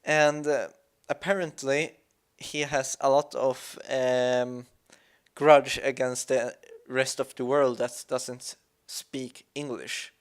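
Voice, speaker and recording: Slow, halting man. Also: medium pitch, flat delivery, clean tone. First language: Swedish. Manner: halting, searching for words, thoughtful. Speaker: let's play commentator. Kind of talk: reflective gameplay commentary.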